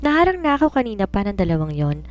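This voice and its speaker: A woman's voice: mid-range voice, big pitch swings, fast talker, faint music behind, natural voice. A woman voicing an independent, teasing young daughter who writes romances, playful and reasoning in mixed Tagalog and English.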